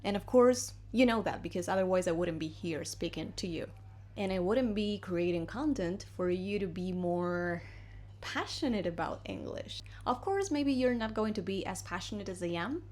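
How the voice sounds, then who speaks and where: A woman from Argentina teaches English in a relaxed, chatty way, with a clear Argentine accent. She is a native Spanish speaker who talks to her viewers as if they were friends, moving between English and Spanish. Her voice sits at a middle pitch. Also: normal speed. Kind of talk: casual video lesson. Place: home in Madrid.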